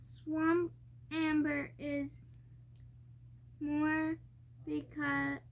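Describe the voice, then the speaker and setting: A young child reading a storybook aloud, haltingly and word by word, stumbling over harder words. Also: high-pitched, level tone, creaky.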